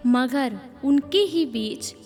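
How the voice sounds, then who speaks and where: Female story narrator, scripted delivery, very high pitch, reverberant sound over background music. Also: animated, extremely slow, darkish tone. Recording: long reverb, music behind.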